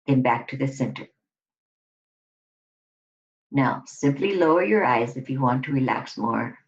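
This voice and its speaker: Woman doing guided relaxation instructions, really slow, short phrases w pauses, kinda muffled lofi sound. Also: very deep voice, expressive. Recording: dull lo-fi recording.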